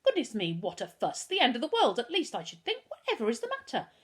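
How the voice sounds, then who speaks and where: A woman voicing a stern, fussy mother in a children's story who scolds briskly. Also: a little high, huge pitch swings, fairly bright tone, husky, voice pushed up, exaggerated voice. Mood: scolding.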